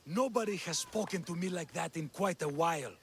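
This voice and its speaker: Brief: dismissive cult-backed slave owner, sneering, slightly high voice, faint noise behind. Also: male voice, fairly quick, fairly bright tone. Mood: scornful. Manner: scornful, dismissive. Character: callous male slave master, cult member.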